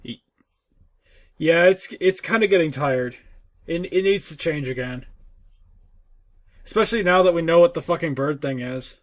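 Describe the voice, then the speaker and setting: A man's voice: a little high, moderate pace, long pauses. A man co-hosting a podcast, chatting casually and informally about games, with some swearing. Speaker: podcast co-host. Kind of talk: casual gaming chat.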